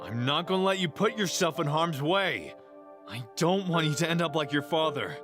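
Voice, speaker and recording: A man's voice: fairly high pitch, animated, normal speed, over music, close to an everyday voice. A man playing a protective, stubborn police detective in an audio crime drama, with an intense, questioning manner. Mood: upset, stern.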